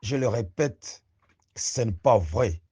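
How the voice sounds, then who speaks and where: A man giving a slow, rousing spoken address to young listeners, full of rhetorical questions. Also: on the low side, lively intonation, mellow, a little hiss or hum. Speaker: activist speaker.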